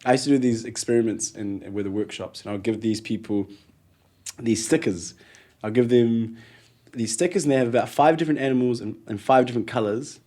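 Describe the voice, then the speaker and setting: Kiwi guy chatting in an interview, warm mellow tone, acts out little scenes as he talks. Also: mid-range voice, a little fast. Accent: New Zealand. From Ethiopia. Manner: animated storyteller, acts out quotes, laughs often. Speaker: guest. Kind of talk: conversational interview.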